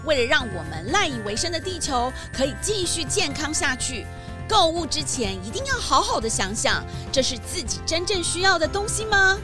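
A woman narrating scripted lessons to children in an expressive, instructive manner. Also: high-pitched, normal speed, over music.

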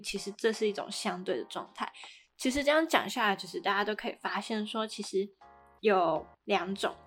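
Female podcast co-host, Taiwanese Mandarin accent, mid pitch, conversational explainer, music bed underneath.

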